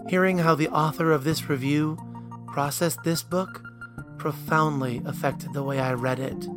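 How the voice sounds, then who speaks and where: A man hosting a podcast interview, speaking earnestly and often repeating words as he searches for them. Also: a little high. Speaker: podcast host.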